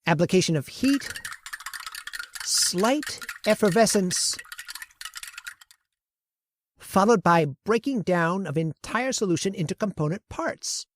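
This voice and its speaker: A woman's voice: medium pitch, lively intonation, takes it slowly, pauses often, slight background noise. A woman voicing a manic, arrogant male scientist in a radio horror play, bullying his assistant and gloating in frantic outbursts. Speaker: voice actor. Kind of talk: old-time radio drama acting.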